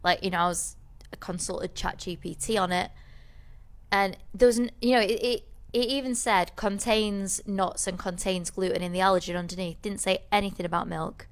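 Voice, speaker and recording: A woman's voice: mid-range voice, fairly even intonation, moderate pace. A woman co-hosting a podcast, telling a rambling story in casual chat, with many restarts. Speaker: podcast co-host.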